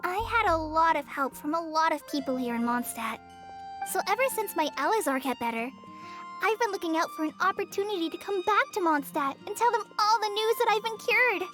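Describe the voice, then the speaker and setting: A woman's voice: very high-pitched, animated, brisk, faint music behind. A woman voicing a shy, earnest, polite young girl with a hesitant manner in a video game. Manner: hesitant, soft-spoken.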